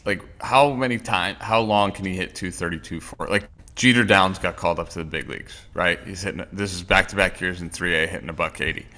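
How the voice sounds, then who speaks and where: A man's voice: deep voice, fairly quick, hardly any pauses, sharp tone. A man co-hosting a casual sports podcast, opinionated and rambling with restarts. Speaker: podcast co-host.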